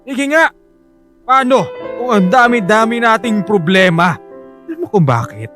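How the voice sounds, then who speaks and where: Desperate husband and father, radio drama: slightly high voice, sour, faltering delivery. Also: animated, a bit bright, soft background music. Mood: bitter outburst. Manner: halting, sarcastic, despairing. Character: desperate, bitter, debt-ridden husband and father.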